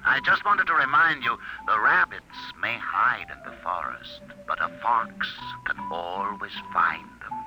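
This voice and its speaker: An actor playing a sly, menacing pursuer who speaks slowly and insinuatingly, in a vintage 1950s radio drama. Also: male voice, lowish voice, animated, poor audio. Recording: vintage radio recording with music.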